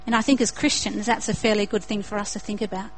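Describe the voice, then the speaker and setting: A woman's voice: medium pitch, steady tone, quick pace, a little hiss or hum, rough recording. Earnest Australian woman preaching. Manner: earnest, builds to emphatic repetition. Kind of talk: sermon.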